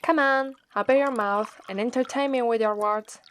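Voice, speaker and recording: A woman with an Italian accent voicing a gloating, teasing female demon captor in a slow, drawling ASMR roleplay. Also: fairly high pitch, a bit bright, lightly acted. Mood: playful, teasing. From Italy. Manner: slow, drawling, taunting, playful menace.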